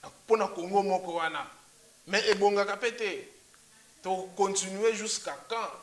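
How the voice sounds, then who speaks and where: A man's voice: sits high, steady tone, normal speed. A man making emphatic points in a political discussion, repeating rhetorical questions.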